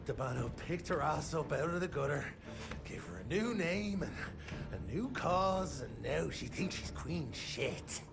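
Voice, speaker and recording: An actor playing a shifty, cowardly, foul-mouthed vampire informant in a video game, with a jittery, stammering delivery. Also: male voice, on the high side, lively intonation, unhurried, gravelly, few pauses, music in the background. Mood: bitter. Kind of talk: video game cinematic dialogue.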